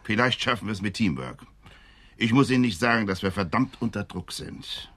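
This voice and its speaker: An actor playing a blustering, commanding New York police chief under pressure in a 1970s German radio crime drama. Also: male voice, lowish voice, lively intonation, a little fast, fairly bright tone. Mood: angry, pressured.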